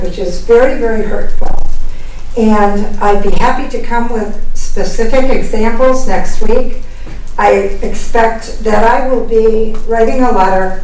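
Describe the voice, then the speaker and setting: Hoarse older woman, echoey and distant. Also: mid-range voice, a little mellow. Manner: earnest, aggrieved, measured. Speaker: public commenter at a council meeting. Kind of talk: public comment speech. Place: city council chamber. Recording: echoey chamber from far off, faint noise.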